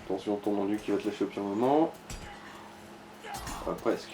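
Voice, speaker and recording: Mellow-voiced Frenchman giving casual gaming tips. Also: lowish voice, brisk, slight background noise, low-quality recording. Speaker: companion advising the player. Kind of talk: casual chat, backseat gaming advice.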